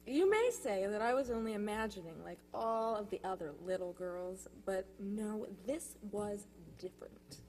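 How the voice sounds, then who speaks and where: A young woman delivering a prepared personal testimonial in church, telling her story in measured, deliberate phrases. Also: mid-range voice, lively intonation, darkish tone. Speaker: youth speaker at a church service. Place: church, speaking to a congregation. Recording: live speech with faint noise and hum.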